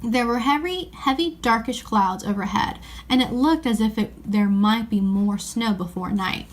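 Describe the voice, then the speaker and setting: A woman reading a children's novel aloud in an expressive storybook style. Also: a little high, normal speed.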